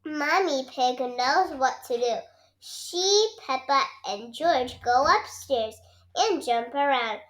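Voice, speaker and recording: A child reading a storybook aloud as host, halting and repeating words along the way. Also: high-pitched, lively intonation, a bit bright. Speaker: read-aloud channel host.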